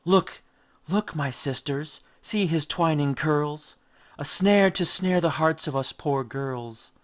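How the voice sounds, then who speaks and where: Storybook siren temptress, done by a male reader: alluring, coaxing, drawn-out sing-song verse. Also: a little high, voice pushed up. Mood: coaxing, yearning. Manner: slow, sing-song, rhyming enticement.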